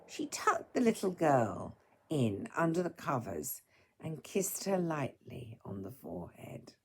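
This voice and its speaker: A tired woman with a hoarse, dry throat, speaking to listeners in a halting, politely formal way as a storyteller. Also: low-pitched, wildly swooping pitch, a little mellow. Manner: halting, apologetic, formal wording. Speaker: storyteller reading aloud and singing. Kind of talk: informal apologetic talk to listeners.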